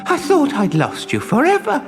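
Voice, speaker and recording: In a storybook recording, a tender old father stammers in high, emotional wonder, acted out by a man, music around him. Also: huge pitch swings, moderate pace, heavily acted. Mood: astonished, tender.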